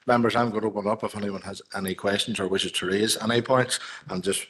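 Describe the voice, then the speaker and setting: A man's voice: on the low side, fairly even intonation, fast, fairly bright tone, slight background noise. A man chairing a council committee, formal and procedural. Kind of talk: chairing a meeting. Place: council chamber.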